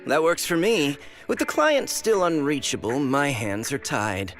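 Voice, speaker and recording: A cautious male agent in a video game. His voice is highish and swings widely in pitch, earnest and courteous, with faint music underneath. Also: expressive, measured pace, fairly bright tone. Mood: concerned, earnest. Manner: earnest, concerned. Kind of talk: scripted video game dialogue.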